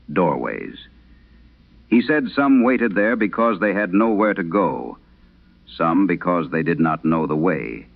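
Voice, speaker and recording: A man narrating a scripted horror tale in vintage radio style, solemn and measured, with dramatic pauses. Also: sits low, vocal fry. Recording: dull, band-limited vintage radio sound.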